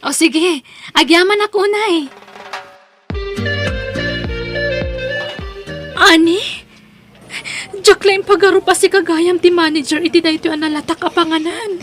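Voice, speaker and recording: A woman's voice: very high voice, steady tone, moderate pace, a bit bright, soft background music. A woman playing a humble, struggling young mother in an Ilocano audio drama. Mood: excited, grateful.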